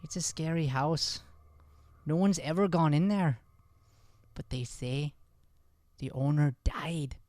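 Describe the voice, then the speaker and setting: A man's voice: a little high, slow, pauses often, darkish tone, no room sound. Man playing a shy, naive Irish boy. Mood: spooked. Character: Cedric, shy solitary boy who likes humming. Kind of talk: improvised old-time radio play acting.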